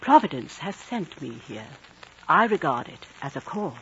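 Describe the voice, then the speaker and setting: A woman's voice: low voice, very animated, normal speed, pushed and bright, slight background noise, exaggerated voice. An actress playing a prim, pious, unflappable female missionary, speaking precisely and earnestly in 1950s radio drama style. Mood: pious, resolute. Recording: dull old radio broadcast.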